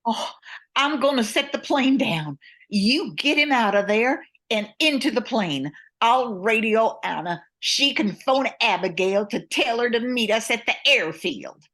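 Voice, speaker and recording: A woman playing a steady but worried female pilot in an amateur radio drama. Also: on the high side, a little slow. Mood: urgent. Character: steady, worried woman bush pilot running small airline.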